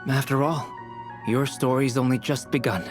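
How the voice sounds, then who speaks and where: Actor as a composed young alchemist, mid-pitched and considered, faint game music behind. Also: male voice, fairly even intonation, lightly acted. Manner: measured, thoughtful, polite. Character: calm, scholarly young male alchemist. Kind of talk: video game voice acting.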